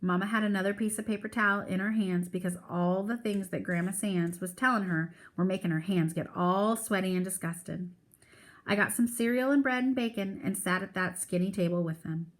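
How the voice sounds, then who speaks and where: A woman's voice: mid-range voice, fairly quick. Woman reading a children's novel aloud. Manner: expressive storytelling with character voices. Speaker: teacher reading aloud to a class.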